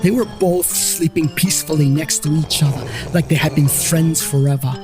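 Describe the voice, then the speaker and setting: A man's voice: fairly high pitch, moderate pace, over music. A man reading a scripted first-person story as its storyteller.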